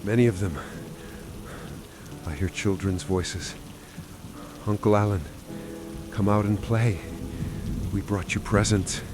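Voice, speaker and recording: An actor playing a terrified, haunted scientist in a horror radio monologue, intense and halting. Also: male voice, fairly low pitch, steady tone, background noise. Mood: dread.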